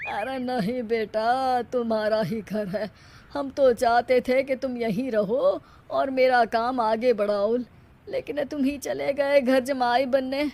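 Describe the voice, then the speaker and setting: A woman's voice: on the high side, steady tone, takes it slowly, darkish tone, a little hiss or hum. A weary middle-aged father in a cartoon, voiced by a woman. He speaks unhurriedly, with dry sarcasm and resignation. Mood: resigned.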